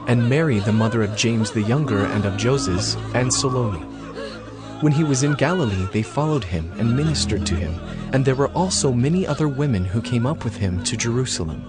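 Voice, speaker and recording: A man narrates a dramatized scripture story at a middle pitch, solemn and scripted in style. Clear background music runs behind his voice. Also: animated, moderate pace.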